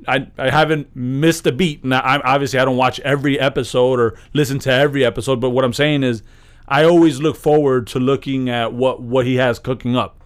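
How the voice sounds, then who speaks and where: A man's voice: mid-range voice, steady tone, a little fast, clear, resonant tone. A man co-hosting a podcast in casual chat, emphatic and profane, often agreeing with his partner. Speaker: podcast co-host.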